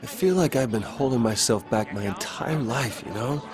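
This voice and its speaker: A man's voice: mid-range voice, animated, normal speed, lightly acted. An actor playing an earnest, casual, slightly goofy man in love in a video game cutscene. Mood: excited, proud. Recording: music behind the voice.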